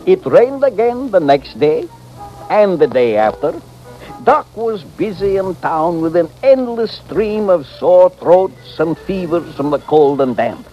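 Animated, folksy western storyteller. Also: male voice, sits high, takes it slowly, heavily acted. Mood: matter-of-fact. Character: folksy male storyteller narrating a frontier tale. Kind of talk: old-time radio drama narration. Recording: dull vintage radio recording. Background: music.

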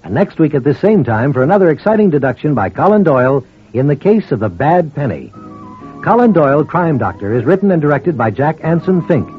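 A man's voice: on the high side, big pitch swings, brisk, husky, faint music behind. A man announcing a vintage radio drama in a dramatic, formal mid-century style. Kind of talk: 1940s-50s radio announcing. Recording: dull, muffled old radio recording.

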